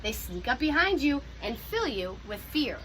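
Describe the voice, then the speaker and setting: A woman who teaches pre-K, reading a picture book aloud to young children in an animated, encouraging way with teacher asides. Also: high-pitched, normal speed, noisy background.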